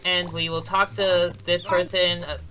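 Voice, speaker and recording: A man's voice: high-pitched, little pitch movement, unhurried, sharp tone. A man commentating his own speedrun live. He explains each step casually, often restarting sentences, with light chuckles. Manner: explanatory, frequent restarts and self-corrections. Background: game music.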